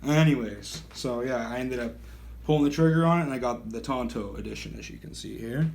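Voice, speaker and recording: A man's voice: mid-range voice, fairly quick, gravelly, darkish tone. A man in his thirties giving a casual gear review, talking in a rambling, self-correcting and enthusiastic way. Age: 30s. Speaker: knife reviewer.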